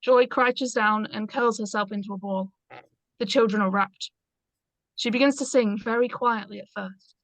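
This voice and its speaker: A woman's voice: mid-range voice, fairly even intonation, normal speed, a bit bright, no room sound, rough recording. A woman reading stage directions aloud as scripted narration in a screenplay read-through.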